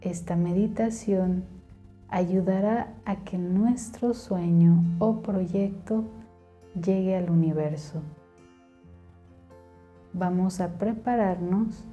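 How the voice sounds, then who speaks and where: A woman's voice: on the low side, slow, pauses often, warm tone, faint music behind. A woman leading a guided meditation in a soothing, instructional way.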